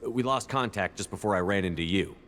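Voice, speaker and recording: An actor playing a military commander in a video game, direct and serious, asking clipped questions and demanding answers. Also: male voice, on the low side, fairly quick, fairly bright tone, a little hiss or hum, lightly acted. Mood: serious, controlled.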